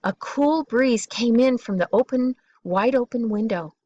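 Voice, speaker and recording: A woman reading a children's bedtime story aloud in an expressive, deliberate way, drawing out key words. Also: on the high side, muffled recording, slight background noise.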